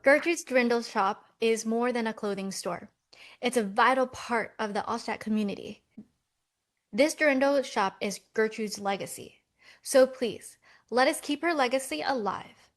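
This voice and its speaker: An actress playing a practical, doubting millennial twin sister in a romcom script read. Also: female voice, on the high side, unhurried, lightly acted. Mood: earnest.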